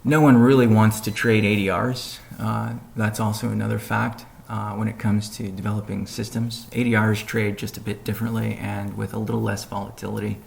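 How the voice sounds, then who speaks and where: A man giving a measured, businesslike update on a conference call, pausing between phrases as he reads out points and answers questions. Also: on the low side, flat delivery, vocal fry. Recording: noisy conference call audio.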